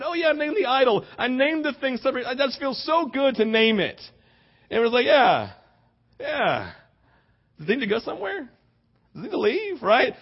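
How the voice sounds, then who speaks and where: Male preacher, high-pitched voice, earnest conversational delivery with rhetorical questions, on a dull lo-fi recording. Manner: acts out imagined dialogue informally. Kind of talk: conversational sermon.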